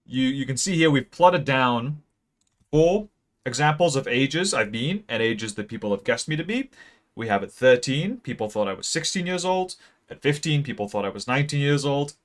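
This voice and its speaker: A young man in his late teens gives a deadpan mock lecture about a graph. He rambles with many ums and speaks close to a mic that sometimes peaks. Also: mid-range voice. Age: young adult. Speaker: video creator. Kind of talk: mock-serious graph explainer.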